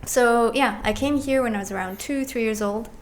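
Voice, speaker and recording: A woman in her thirties, a podcast guest, telling stories about growing up in a relaxed, chatty way and laughing easily. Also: on the high side, moderate pace, slight background noise. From born in Egypt, raised in the UAE. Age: 30s. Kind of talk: casual chat.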